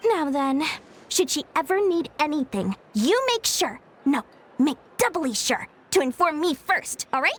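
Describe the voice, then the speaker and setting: A woman's voice: high-pitched, sing-song, a little slow. A woman voicing a theatrical, scheming small-time merchant woman in a video game. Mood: sly. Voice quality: sing-song, melodramatic, wheedling.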